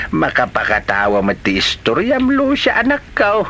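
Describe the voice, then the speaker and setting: A man plays a jovial old Ilocano father in a comedy radio drama. His voice is bright and exclaiming, with wide pitch swings over a music bed. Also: wildly swooping pitch, unhurried, heavily acted. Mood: amused. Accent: Filipino, Ilocano. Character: jolly, giggly old father joking with his daughter.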